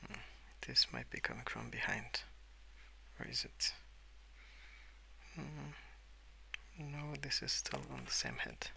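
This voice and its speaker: A man speaking softly and hesitantly in a rambling ASMR style, often slipping into whispers at a desk indoors. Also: medium pitch, huge pitch swings, takes it slowly, vocal fry, long pauses, poor audio. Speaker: host of a colouring ASMR channel. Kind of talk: soft-spoken rambling ASMR. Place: at a wooden desk indoors, with pencil sounds.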